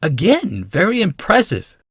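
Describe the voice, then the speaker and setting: A man's voice: high voice, wildly swooping pitch, a little slow, creaky, fairly bright tone, husky, dry recording, studio quality, voice pushed up, full character voice. A man voicing a refined, impressed woman in audiobook dialogue, emphatic and full of wonder. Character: refined women, an impressed elder and an awed wife.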